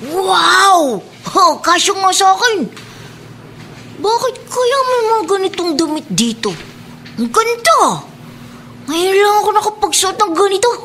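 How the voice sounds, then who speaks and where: A woman's voice: very high voice, sing-song, unhurried, pushed and bright, slight background noise, exaggerated voice. A woman playing a polite, eager young boy in a Filipino radio drama, deferential and prone to stammering when flustered. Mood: curious, delighted. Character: young boy Mark, poor former snatcher, meek and eager.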